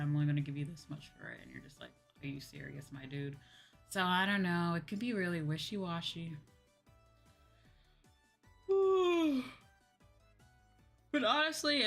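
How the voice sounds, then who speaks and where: A woman's voice: low-pitched, vocal fry, a bit bright. A young woman livestreamer chatting casually in a slangy, exclamatory way, like a VTuber talking to her viewers. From United States. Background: background music.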